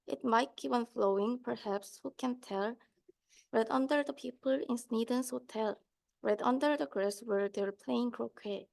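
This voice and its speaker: A woman's voice: a little high, unhurried, creaky, darkish tone. A young woman student reading a rhyming children's book aloud. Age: young adult. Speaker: student reader.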